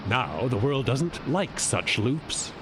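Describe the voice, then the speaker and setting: A man's voice: medium pitch, sing-song, takes it slowly. A man playing a chatty, knowing god who explains things emphatically in an audio drama. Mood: explaining. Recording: noisy outdoor ambience behind.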